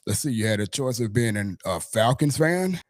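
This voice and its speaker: A man's voice: mid-range voice, lively intonation, moderate pace, dry recording, clean studio sound. A man from Mississippi with a Southern US accent, hosting a casual sports interview in a wordy way that circles back over phrases. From Mississippi, United States. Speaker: podcast host.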